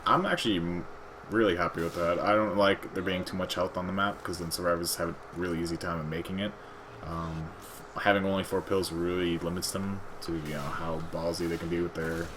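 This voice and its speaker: Low-voiced man casting a game, noisy recording. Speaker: esports match caster. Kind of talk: live game commentary with casual chat.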